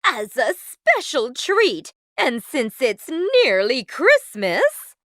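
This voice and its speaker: A woman's voice: high voice, huge pitch swings, drawn-out words, fairly bright tone, no room sound, clean studio sound, pitched above the performer's own voice, exaggerated voice. A woman voicing a haughty, bossy, cruel stepmother in a storybook, with an imperious, exclamatory manner. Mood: proud, condescending.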